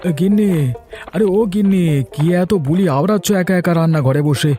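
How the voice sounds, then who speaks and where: A man's voice: sits high, a little fast, mellow, faint music behind, close to an everyday voice. A man voicing a hot-tempered but affectionate husband in a cartoon, brusque and nagging yet caring. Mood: brusque, chiding. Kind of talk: animated cartoon story dialogue.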